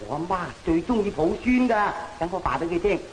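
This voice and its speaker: Playful young husband, lively high voice. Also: male voice, a little fast. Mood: eager, delighted. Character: playful, talkative young husband, a dutiful son. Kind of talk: old Cantonese opera film acting. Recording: noisy old film soundtrack, reverberant.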